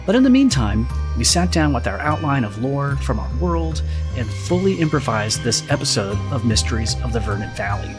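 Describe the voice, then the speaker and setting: A man's voice: medium pitch, sing-song, moderate pace. A man reading a scripted introduction as the creator of a podcast. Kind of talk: scripted announcement. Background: guitar music.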